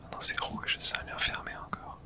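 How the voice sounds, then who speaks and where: Someone whispering throughout an ASMR let's play, narrating the game and reading its texts aloud in a hush. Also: fairly quick. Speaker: host playing and narrating a game.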